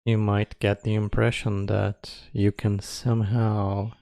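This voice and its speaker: Slow, even-toned man guiding meditation. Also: lowish voice, gravelly, no room sound, clean studio sound. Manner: slow, spare phrases with long pauses; calm, measured. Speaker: meditation teacher. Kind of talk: guided meditation.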